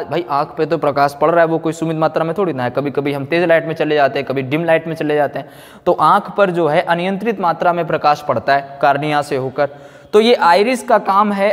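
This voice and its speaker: A man giving a classroom lecture, repeating phrases for note-taking and answering his own questions. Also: fairly high pitch. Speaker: physics teacher. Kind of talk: classroom lecture, explaining and dictating notes. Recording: echoey room with long reverb.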